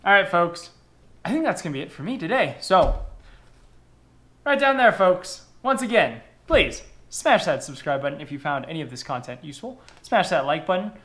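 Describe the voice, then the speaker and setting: A man's voice: high-pitched, normal speed. A young man hosting a casual how-to vlog from his kitchen table, chatty and repeating his words as he goes. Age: young adult. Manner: chatty, repeats words and phrases, lively delivery. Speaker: channel host. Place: kitchen table indoors, home. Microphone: camera across the table.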